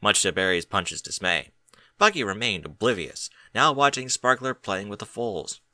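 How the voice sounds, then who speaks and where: A man's voice: on the low side, expressive, normal speed, bright, forceful tone, no echo. A man reading a story aloud as scripted narration. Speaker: audiobook reader of fan fiction.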